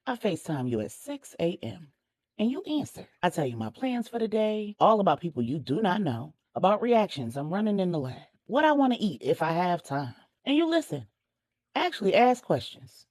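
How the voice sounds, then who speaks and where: A woman's voice: lowish voice, big pitch swings, moderate pace, a little mellow, no room sound. A woman voicing a sassy, bold young college student in love, feisty, demanding and playful, for a story. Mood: insistent, affectionate.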